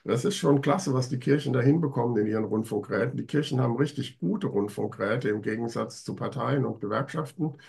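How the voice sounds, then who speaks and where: A man's voice: mid-range voice, fairly quick, vocal fry, few pauses, a little mellow. An older man with a stuffy head cold talks over a webcam call. He is sardonic and emphatic and drags out his complaints. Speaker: co-host. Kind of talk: casual political chat. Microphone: video call webcam.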